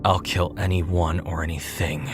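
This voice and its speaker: A man plays a brooding demon killer in an audio drama, protective and terse. The voice is low and grave, with prominent music behind it. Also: flat delivery, measured pace. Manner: terse, grave, dry retorts.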